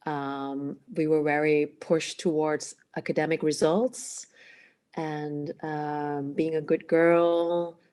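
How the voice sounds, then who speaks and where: Low-voiced reflective woman coach. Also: a little mellow. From Netherlands. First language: Cantonese. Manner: reflective, hesitant, many "um" pauses. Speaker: guest, emotional awareness coach. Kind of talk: interview answers.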